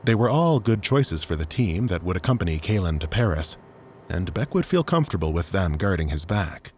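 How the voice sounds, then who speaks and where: A man's voice: deep voice, sing-song, brisk, darkish tone, a little hiss or hum. A man reading scripted dramatic fiction as an audiobook narrator.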